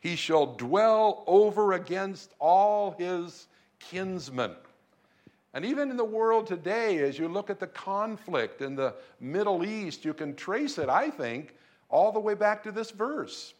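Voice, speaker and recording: A man preaching a sermon to a congregation in a room, speaking in a measured way with emphatic lifts on key points. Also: sits high, sing-song. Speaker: pastor. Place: church room in Beijing.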